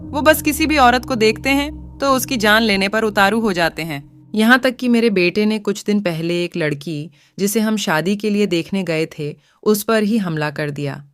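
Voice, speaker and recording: A woman's voice: mid-range voice, moderate pace, close to an everyday voice. A woman playing a worried, protective older village mother in a cartoon story, fretful and dramatic. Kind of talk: Hindi cartoon story acting. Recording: faint music behind.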